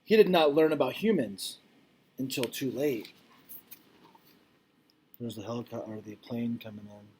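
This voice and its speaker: A man reading a picture book aloud to children like a teacher, expressive and deliberate, with informal explanatory asides. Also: mid-range voice, breathy edge, low-quality recording.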